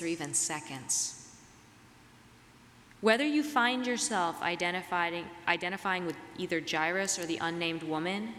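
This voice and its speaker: A woman preacher delivering a reflective, measured sermon, her voice slightly raspy as she gets over a cold. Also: lowish voice, a bit bright. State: getting over a cold and flu. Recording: reverberant hall, clear background noise.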